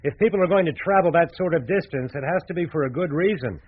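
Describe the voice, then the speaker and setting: A courteous, shrewd American ad executive in a radio play. His voice sits on the high side, businesslike and frank, through thin phone-like sound. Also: fast talker, husky. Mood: frank, firm. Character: shrewd, polite big-city advertising executive. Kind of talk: radio drama acting.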